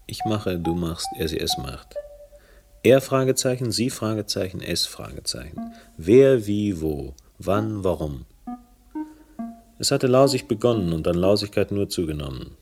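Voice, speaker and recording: A bitter, world-weary investigator in a 1970s radio crime play. His voice sits low, and he narrates in dry, clipped, sardonic phrases. Guitar music plays clearly behind him. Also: very animated, a little slow, full character voice. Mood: sardonic, resigned.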